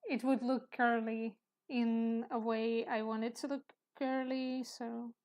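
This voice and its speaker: A woman with a soft, sleepy voice, chatting hesitantly while she draws on a live stream. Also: a little high, level tone. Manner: hesitant, halting, with small laughs. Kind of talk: casual chat while sketching.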